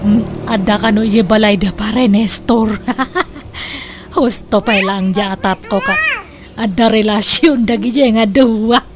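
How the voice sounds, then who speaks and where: A woman with an Ilocano accent playing a scolding, grasping, gossipy middle-aged aunt in a comic radio drama, sharp and nagging. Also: medium pitch, slow. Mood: gossipy, smug. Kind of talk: comedic radio drama acting. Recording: clear background noise.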